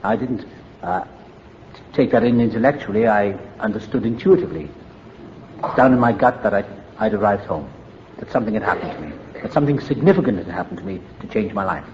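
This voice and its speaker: A man's voice: on the low side, lively intonation, a little fast, darkish tone, lo-fi sound, slight background noise. An older man with a Welsh accent, candidly telling his recovery story to a meeting in a reflective, wry way. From Wales. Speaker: AA meeting speaker.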